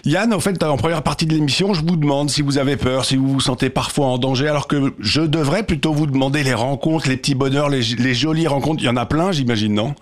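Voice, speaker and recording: A man's voice: mid-range voice, fast talker, few pauses. A man hosting a radio show, interviewing conversationally and rephrasing his thoughts as he goes.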